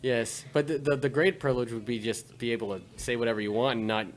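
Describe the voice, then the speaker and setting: A man from Wales hosting a podcast, trading crude jokes and banter in casual chat and breaking into loud laughter. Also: mid-range voice, fairly even intonation, fast. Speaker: podcast host.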